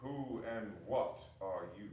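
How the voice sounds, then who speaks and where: An actor playing the old miser Scrooge in a live staged reading, exclaiming with sudden big rises, heard from far off in an echoey hall. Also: male voice, fairly low pitch, takes it slowly, darkish tone, a little hiss or hum. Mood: questioning. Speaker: reader in a staged dramatic reading. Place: small arts center stage.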